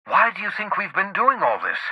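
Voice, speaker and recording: An actor playing an eccentric, righteous outlaw scientist in a video game, theatrical and erudite, swinging between scorn and glee in a raspy voice. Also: male voice, high voice, very animated, a little fast, no room sound, highs cut off, exaggerated voice. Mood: urgent, righteous. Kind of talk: video game character dialogue.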